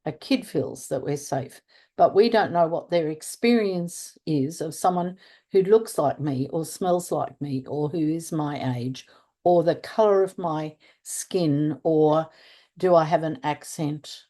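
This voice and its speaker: A woman's voice: deep voice, takes it slowly, dark tone. An older Australian woman talking earnestly in conversation, searching for words and stressing key points. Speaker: restorative practice trainer. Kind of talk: conversational interview.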